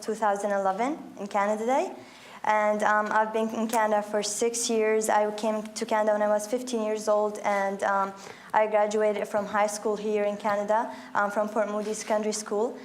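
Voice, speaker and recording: A woman in her early twenties from Iraq, speaking as a panelist with many pauses, ums and restarts. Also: medium pitch. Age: 20s. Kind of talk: panel discussion.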